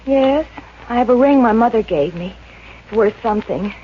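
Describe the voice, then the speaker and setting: A woman's voice: a little high, expressive, normal speed, natural voice. A woman playing a plump, always hungry young woman, plaintive, girlish and whiny, in 1950s radio comedy. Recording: muffled, hissy 1950s radio recording.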